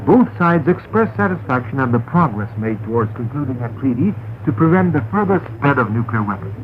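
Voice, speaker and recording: Male newsreader, formal bulletin, measured delivery, narrow tinny shortwave sound, faint static. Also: mid-range voice. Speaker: radio newsreader. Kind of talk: formal scripted news bulletin reading. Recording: noisy narrow-band shortwave radio recording.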